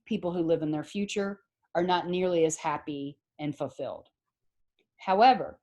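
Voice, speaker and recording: A middle-aged woman teaching a psychology class over a video call, talking in a conversational way and checking that students follow. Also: fairly low pitch. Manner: conversational, explains with examples and rhetorical questions. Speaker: psychology professor. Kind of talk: online lecture.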